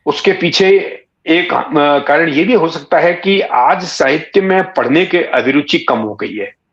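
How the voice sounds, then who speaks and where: A man in his sixties answering in a reflective interview, explaining in literary words and pausing mid-sentence to find the next one. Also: on the high side, lively intonation, fairly bright tone, highs cut off. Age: 60s. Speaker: interview guest, satirist and writer.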